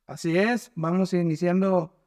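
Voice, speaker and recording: A Mexican man co-hosts a podcast with a fairly high voice. He speaks in an informal, conversational style. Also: steady tone, unhurried, a little mellow. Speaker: podcast co-host. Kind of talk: casual chat.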